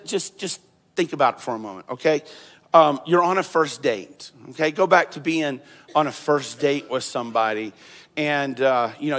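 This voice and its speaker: Male pastor, fairly high voice, conversational sermon delivery in a church hall with long reverb. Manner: conversational preaching with repeated phrases, growing animated.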